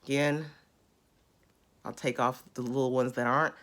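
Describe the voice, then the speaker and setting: A woman in her thirties with a raspy voice, chatting casually while she demonstrates a beauty routine close to a phone camera indoors. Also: a bass voice, fairly even intonation, normal speed, fairly bright tone. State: raspy after illness. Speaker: beauty vlogger. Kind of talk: casual how-to demo. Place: small indoor room.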